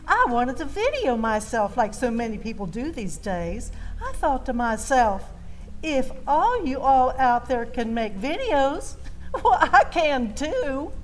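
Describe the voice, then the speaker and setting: A woman with a Southern US accent and a high voice tells a comic tale as if on stage. Her delivery is folksy and theatrical, and music plays behind her. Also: rough recording. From Georgia, United States. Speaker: storytelling contestant. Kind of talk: comic first-person storytelling on stage.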